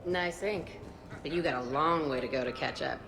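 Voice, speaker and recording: Hard-edged loyal fighter, low pitch, blunt delivery, sci-fi game, background music. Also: female voice, moderate pace, vocal fry. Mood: defiant. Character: tough, blunt, fiercely loyal female fighter. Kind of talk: scripted game dialogue. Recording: music under the voice.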